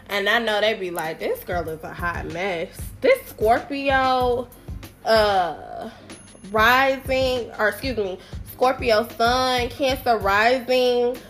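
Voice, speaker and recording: A woman's voice: medium pitch, fairly bright tone. A young woman hosting her podcast alone, speaking in a nasal voice while sick with a summer cold, chatty and playful with self-deprecating, slangy asides. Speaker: podcast host. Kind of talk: casual solo podcast chat.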